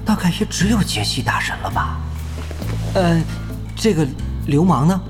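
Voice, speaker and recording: A man's voice: on the high side, sing-song, normal speed, music in the background. An actor playing a nervous young guild leader who stammers in front of his idol. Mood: flustered. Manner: flustered, stammering.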